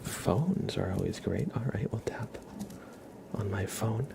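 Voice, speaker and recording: An ASMR livestream host whispering casually to viewers in a soft-spoken, unhurried way. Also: low voice, gravelly, noisy background.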